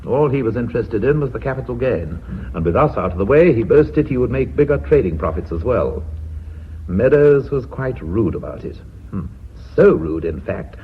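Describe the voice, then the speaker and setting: Knighted British company director male actor, radio drama: commanding, formal, lively intonation, on a dull old recording. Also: medium pitch, slight background noise, natural voice. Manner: measured, authoritative, formal.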